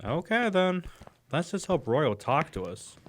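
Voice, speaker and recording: A man's voice: on the high side, very animated, a little slow. A man playing a nervous, reluctant young sidekick in an audio drama, full of doubts and complaints. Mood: hesitant.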